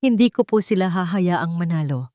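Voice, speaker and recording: A woman's voice: fairly low pitch, expressive, moderate pace, dark tone, no room sound, dull audio, natural voice. A woman voicing an anxious yet determined young housemaid in an audiobook drama. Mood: resolute.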